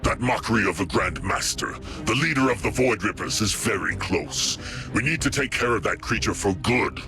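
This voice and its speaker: An actor playing a proud, stern space marine grandmaster with a commanding, gruff delivery. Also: male voice, on the low side, animated, normal speed, music in the background. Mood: grave.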